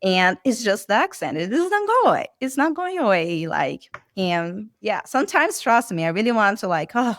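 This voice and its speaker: A woman's voice: medium pitch, moderate pace, hardly any pauses, no room sound, studio quality. Brazilian-accented woman, chatty guest. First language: Portuguese. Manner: chatty, animated, many filler likes. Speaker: guest, medical sales rep. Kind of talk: casual interview talk.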